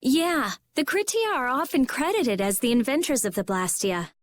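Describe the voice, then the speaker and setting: A brainy young girl speaks in a high voice, sharp-tongued and insistent. She reasons things through curtly, like a scholar in a fantasy game. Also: very animated, moderate pace. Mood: analytical. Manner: insistent, analytical, curt. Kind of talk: video game cutscene acting.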